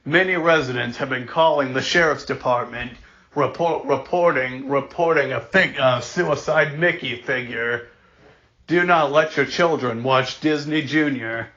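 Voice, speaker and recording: A man reads a scripted mock emergency broadcast as the announcer, solemn, deliberate and emphatic, sometimes stumbling and repeating words. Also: medium pitch, bright, forceful tone. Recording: dull lo-fi recording.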